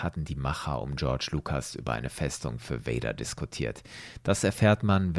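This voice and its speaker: Deep-voiced male podcast narrator, lively melody. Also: dry recording, studio quality. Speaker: podcast host and storyteller. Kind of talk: scripted explanatory narration with casual asides.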